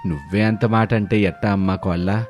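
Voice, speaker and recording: A man's voice: on the low side, steady tone, moderate pace, darkish tone, faint music behind, close to an everyday voice. A man as a concerned, coaxing older father-in-law. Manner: coaxing, pleading. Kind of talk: dramatised moral story dialogue.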